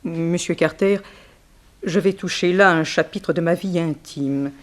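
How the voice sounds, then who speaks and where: A woman's voice: fairly low pitch, a little slow, close to an everyday voice. A genteel society wife played by an actress in a vintage radio play. She speaks with formal precision, dignified and guarded about her marriage. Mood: confiding, dignified. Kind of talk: 1960s French radio drama acting.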